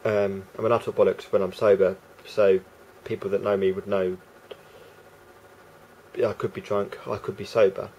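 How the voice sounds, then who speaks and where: Guy with a croaky gravelly voice rambling on in a vlog, lots of ums, faint hum in the background. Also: lowish voice, level tone, normal speed, a little mellow, low-quality recording. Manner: rambling, hesitant, full of fillers and restarts. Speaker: vlogger. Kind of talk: casual monologue to camera.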